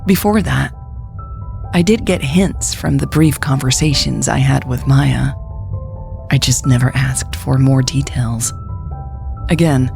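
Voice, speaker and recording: Woman, podcast story narrator, low voice, creaky fry, intimate, scripted delivery. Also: darkish tone.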